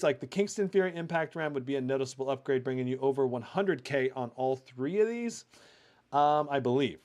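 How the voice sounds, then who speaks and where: Chatty, loud-voiced tech reviewer man. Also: fairly high pitch. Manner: chatty, many fillers, talks through numbers on screen. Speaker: tech reviewer hosting a livestream. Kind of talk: casual live benchmark commentary.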